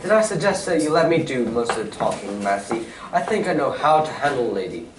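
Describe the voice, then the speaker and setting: A man playing a confident Victorian gentleman detective in a radio drama read on stage, with formal, old-fashioned phrasing. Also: mid-range voice, big pitch swings, quick pace, close to an everyday voice. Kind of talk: 1940s-style radio drama stage acting. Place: community theatre stage reading. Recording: echoey hall, distant mic, faint noise.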